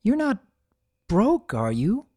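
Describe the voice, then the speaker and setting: A performer voicing an earnest, concerned young female pony in a cartoon fan story, gently questioning a friend. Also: medium pitch, wildly swooping pitch, slow pace, breathy edge, voice pushed up, full character voice. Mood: incredulous.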